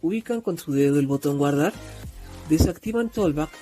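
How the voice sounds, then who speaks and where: Mellow Mexican man giving a tutorial. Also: on the high side, lively intonation, normal speed, soft background music. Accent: Mexican Spanish. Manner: conversational, addresses viewers directly. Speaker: tutorial host. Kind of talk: informal step-by-step app tutorial.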